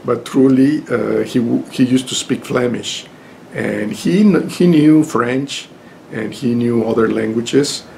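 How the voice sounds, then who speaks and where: Older Mexican-accented man, unhurried, gravelly voice, halting webcam lecture from home. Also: medium pitch, dark tone, a little hiss or hum, low-quality recording. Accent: Mexican Spanish. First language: Spanish. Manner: halting, many fillers and restarts. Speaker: lawyer and lecturer. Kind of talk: informal spoken lecture to camera. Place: home study with bookshelves. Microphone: webcam at desk.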